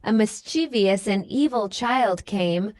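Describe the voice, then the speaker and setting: A woman reads a children's storybook in a lively, varied tone. Her pace is unhurried and the sound is close and dry. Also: mid-range voice, no echo. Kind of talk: scripted storybook narration.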